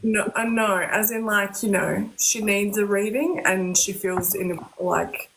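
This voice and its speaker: A woman with an English accent chatting casually on a live stream, her voice hoarse and croaky, often saying "like" and "you know". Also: medium pitch, a little slow, a crowd in the background, poor audio. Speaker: medium.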